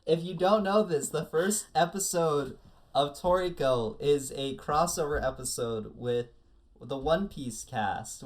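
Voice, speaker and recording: A co-host of an anime review show chatting casually in a jokey, slangy way. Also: medium pitch.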